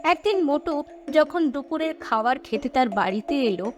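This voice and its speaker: A woman tells a scripted cartoon story in a high-pitched voice. Her delivery rises and falls with lots of animation, and music plays behind her. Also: lively intonation, moderate pace.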